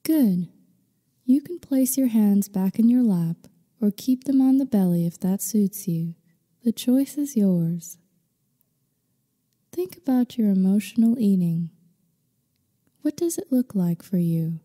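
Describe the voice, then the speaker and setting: A woman guiding a meditation in slow scripted narration, with a soothing, unhurried, gently instructing manner. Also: mid-range voice, lively intonation. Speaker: meditation guide. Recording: long reverberant hall-like echo.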